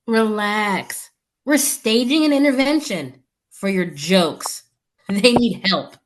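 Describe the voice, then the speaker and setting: Woman acting a slow-talking, animated, teasing doctor. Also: mid-range voice. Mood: wry. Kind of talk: audio play acting.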